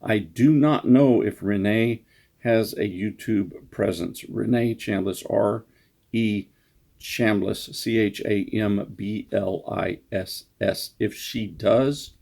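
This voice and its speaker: Guy author chatting off the cuff, low mellow voice w lots of fry, halting n self-deprecating. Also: steady tone. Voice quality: husky, creaky. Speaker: author introducing his own story. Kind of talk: casual, unscripted introduction.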